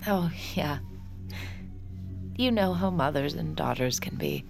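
A woman's voice: deep voice, animated, measured pace, gravelly, music in the background, heavily acted. A woman acting a guarded, anxious young bartender in an audio drama, also narrating her private thoughts. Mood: guarded politeness.

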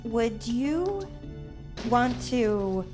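A young, earnest and nervy monster fighter in an audio drama. Her voice sits fairly high and takes its time, with music clearly underneath. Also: very animated, very slow, heavily acted. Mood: nervous, tender.

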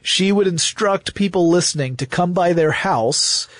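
A man's voice: sits high, a little slow, fairly bright tone, no room sound. Man explaining things, close dry sound. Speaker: podcast host. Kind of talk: casual explanatory podcast talk.

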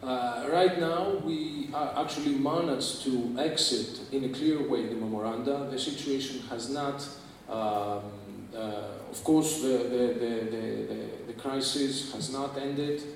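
A man's voice: medium pitch, a little slow. A man from Greece, giving a panel talk with many fillers and restarts. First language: Greek. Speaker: panel speaker, government representative. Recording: echoey hall recording from far off, faint hiss.

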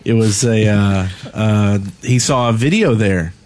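A man's voice: lowish voice, a little slow, a little mellow, dry recording. A man co-hosting a podcast in a casual, teasing, wisecracking way. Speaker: podcast co-host. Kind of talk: casual group podcast chat.

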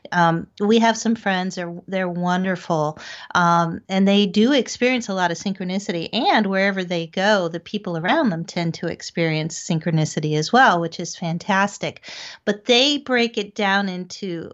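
A middle-aged woman answering as an interview guest, speaking conversationally in a halting, reflective way. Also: lowish voice. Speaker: interview guest, paranormal investigator. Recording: dull, lo-fi audio.

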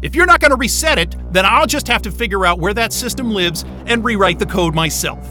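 A man's voice: high-pitched, expressive, fairly quick, loud music behind the voice. An actor playing a hot-tempered, sarcastic male scientist in an audio drama, agitated, profane and combative. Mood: angry, defiant. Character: hot-tempered, sarcastic male astrophysicist trapped in a remote station.

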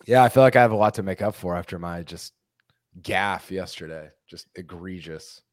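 Male co-host, low pitch, slightly hoarse, rambling chat, dry sound. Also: normal speed, no room sound. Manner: rambling, many restarts. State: losing his voice. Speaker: podcast co-host. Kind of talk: casual sports podcast chat.

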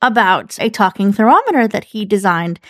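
A woman's voice: medium pitch, big pitch swings, fairly quick, fairly bright tone, no echo, studio quality. A woman hosting a casual podcast interview in a chatty, enthusiastic manner.

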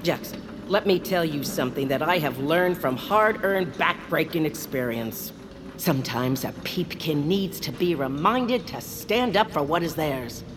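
A weary, gruff little creature who bosses a crew underground in a comic audio drama. The delivery is folksy and blustery, very animated in pitch, over clear background ambience. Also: female voice, unhurried, background noise. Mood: rousing. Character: gruff, weary underground peepkin boss, small fantasy creature.

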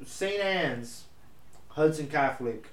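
A young man whose first language is Spanish, co-hosting a casual podcast chat in an animated, slangy way with lots of swearing. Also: fairly high pitch, slow pace, crowd noise. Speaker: podcast co-host. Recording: echoey room with faint noise.

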